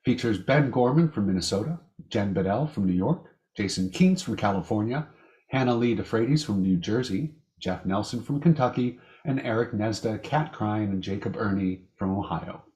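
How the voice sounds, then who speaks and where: A man hosting an amateur vintage radio show, reading a scripted introduction in a measured, announcer-like way. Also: lowish voice, lively intonation, warm tone. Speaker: host.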